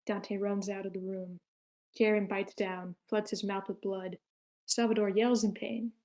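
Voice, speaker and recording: A woman reads stage directions at a screenplay table read. Her mid-pitched voice stays fairly flat, moving matter-of-factly through short scripted sentences. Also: measured pace. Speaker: narrator reading stage directions.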